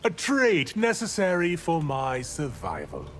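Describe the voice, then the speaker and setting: Sly sorcerer, swooping pitch. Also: male voice, wildly swooping pitch, measured pace, slight background noise. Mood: sly bargaining. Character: slippery, scheming male demon sorcerer, haggling. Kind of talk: video game voice acting. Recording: music playing behind.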